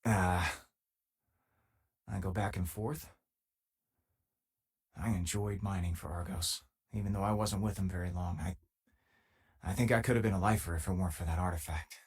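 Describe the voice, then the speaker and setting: An easygoing spacer companion for a sci-fi game. His voice sits fairly low with a husky edge. He speaks conversationally, with dry, teasing humor and small thoughtful pauses. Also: fairly even intonation, darkish tone. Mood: relaxed, conversational. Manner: casual, dryly teasing, hesitant pauses. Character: easygoing, wry male space-faring companion, a former miner. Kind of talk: video game voice lines, conversational.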